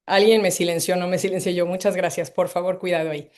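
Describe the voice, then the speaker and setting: A woman's voice: lowish voice, fairly even intonation, quick pace, darkish tone. A woman in her fifties, originally from Mexico City, hosting an online presentation over a video call in an effusive, rambling way with many hesitations. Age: 50s. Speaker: webinar host. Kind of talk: online presentation to a live audience.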